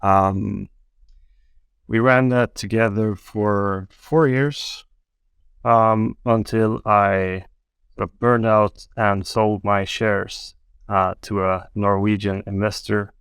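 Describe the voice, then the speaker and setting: A man, telling his story as a podcast guest in a relaxed, wry way. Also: lowish voice, slow pace, smooth, no echo, studio quality. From Sweden. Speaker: guest, angel investor. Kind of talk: casual podcast interview.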